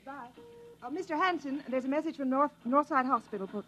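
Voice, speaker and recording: A woman's voice: high voice, quick pace, fairly bright tone. A woman acting an efficient control room secretary in a 1950s radio drama, with businesslike delivery. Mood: businesslike, concerned. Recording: dull, noisy 1950s radio recording.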